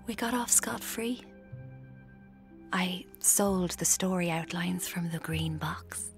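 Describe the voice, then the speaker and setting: An actress with an Irish accent playing a scheming, guilt-haunted young woman writer in a radio drama, with a breathy, creaky voice. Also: on the low side, slow, faint music behind. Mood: confessional, smug. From Ireland. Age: young adult. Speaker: lead actor and narrator in a radio drama. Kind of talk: intimate first-person radio drama narration.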